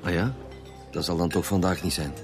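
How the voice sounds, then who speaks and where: An actor playing a gruff, stubborn veteran chief inspector with a Flemish accent in a radio drama, blunt and irritable. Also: male voice, deep voice, steady tone, fairly quick, over music. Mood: businesslike.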